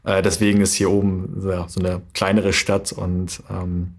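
Husky young man, halting interview answers. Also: sits low, level tone. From Germany. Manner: thoughtful, many hesitation fillers. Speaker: handball player, guest.

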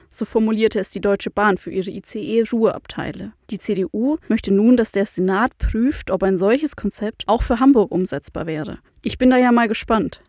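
A woman with a Franconian accent presenting the news and speaking in a clear, measured way, with a rolled R. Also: mid-range voice, a little mellow, no echo, clean studio sound.

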